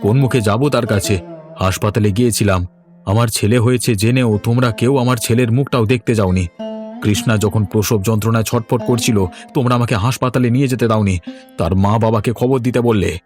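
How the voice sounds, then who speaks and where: A man's voice: mid-range voice, fairly even intonation, fast talker, darkish tone, faint music behind, close to an everyday voice. A man voicing a hurt young husband and son, reproachful and pleading, in a cartoon family drama.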